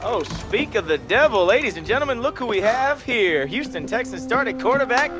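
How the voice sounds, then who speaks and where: A man's voice: extremely high pitch, big pitch swings, fairly quick, music in the background. A man playing a cocky, teasing young buddy in a sports game, boisterous and showy. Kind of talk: game cutscene acting.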